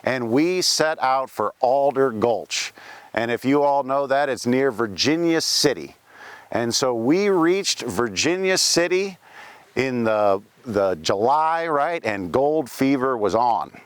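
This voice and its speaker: A man's voice: medium pitch, animated, takes it slowly, clear, resonant tone, no echo. A man playing the ghost of a Welsh-born pioneer gold miner, telling his life story in a folksy first-person way with frequent asides, outdoors at a cemetery. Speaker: costumed cemetery tour performer. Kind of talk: first-person historical reenactment.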